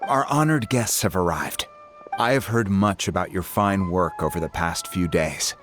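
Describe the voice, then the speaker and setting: Calm ceremonious chief, male actor, low and measured, music under the dialogue. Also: close to an everyday voice. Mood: gracious. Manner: measured and formal. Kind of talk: video game voice acting. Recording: game audio with background music.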